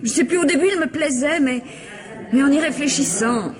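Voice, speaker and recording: A woman's voice: high voice, fairly quick, a crowd in the background, natural voice. A woman playing a haughty, evasive film star in a radio mystery drama, grand and dismissive in manner. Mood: cool, dismissive. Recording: reverberant hall, voices murmuring behind.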